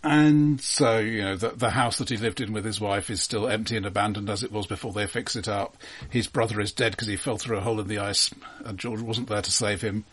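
A man chatting casually about films as a podcast co-host, in a halting, wry, conversational way. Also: lowish voice, fairly even intonation, a bit bright, husky. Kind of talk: casual film discussion.

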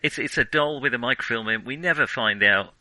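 A man hosting a podcast and casually discussing films, in a halting, hesitant way that often doubles back on itself. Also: medium pitch, bright, forceful tone, no room sound, poor audio. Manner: halting, hesitant, frequent self-interruptions. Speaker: podcast host.

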